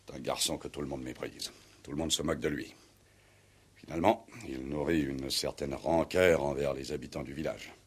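An actor in a 1960s French radio play, playing a proud, eccentric ageing war veteran with a pompous, emphatic delivery. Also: male voice, very deep voice, steady tone, normal speed, breathy edge.

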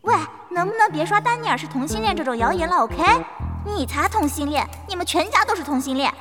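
Woman playing a high-pitched, indignant, snarky fan. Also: lively intonation, quick pace. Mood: indignant, scornful. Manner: sharp, scornful. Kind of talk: audio drama acting. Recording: long reverb, loud music behind.

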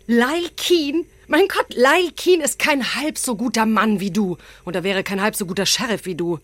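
An actress playing a sheriff's outspoken, fiercely loyal wife in an audio drama, direct and emphatic. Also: female voice, a little high, normal speed, no room sound, natural voice. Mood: incredulous.

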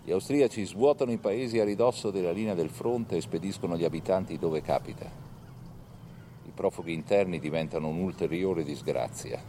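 A man reading scripted historical narration for a documentary film in a measured storytelling manner. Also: sits low, warm tone, a little hiss or hum.

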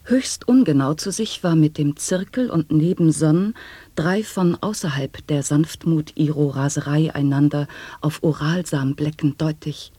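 A husky absurdist announcer. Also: medium pitch, unhurried, dark tone, no echo. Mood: earnest, formal. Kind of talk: avant-garde radio play recitation of nonsense wordplay.

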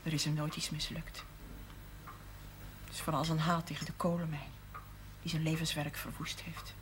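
An actress playing an earnest farmer's wife who now keeps a shop, confiding and at times near a whisper, in a 1970s TV drama. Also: female voice, deep voice, level tone, moderate pace, background noise, close to an everyday voice. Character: earnest farmer's wife turned shopkeeper, middle-aged woman. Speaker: shopkeeper's wife.

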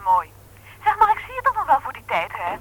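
A chatty secretary in a radio drama. She talks in a high voice with lots of rise and fall, circling politely around what she wants and coaxing as she goes. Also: huge pitch swings, brisk, a little hiss or hum, low-quality recording. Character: chatty, persuasive young female secretary. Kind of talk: Dutch radio play acting.

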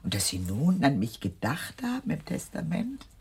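An actress playing a giddy, extravagant older aunt in a German radio play, with an effusive, theatrical way of talking. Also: female voice, deep voice, huge pitch swings, moderate pace, creaky, darkish tone, breathy edge, exaggerated voice. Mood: sentimental, wistful.

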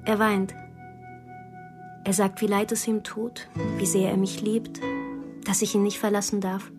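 A woman's voice: medium pitch, level tone, measured pace, music in the background, natural voice. An actress playing a troubled young woman in a radio drama, narrating her diary in an intimate, restrained way. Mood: numb, intimate. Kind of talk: radio drama monologue and dialogue.

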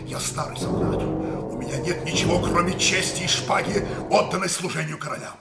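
An actor playing a proud, defiant old musketeer captain in a radio drama, impassioned, declamatory and bitter. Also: male voice, high voice, moderate pace, gravelly, loud music behind the voice. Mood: indignant. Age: older. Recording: echoey studio radio play.

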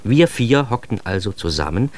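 A man's voice: on the low side, big pitch swings, normal speed, darkish tone. An actor playing a self-deprecating, bitter grown son who narrates his youth in a confessional radio-play monologue, addressing the listener directly. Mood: storytelling. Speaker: narrator and protagonist of a radio drama.

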